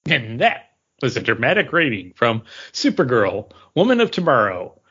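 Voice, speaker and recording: A man co-hosting a podcast, casually giving a review as animated anecdote. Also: mid-range voice, normal speed, husky, dull audio. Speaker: podcast co-host reviewing comics. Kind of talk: casual talk, book review.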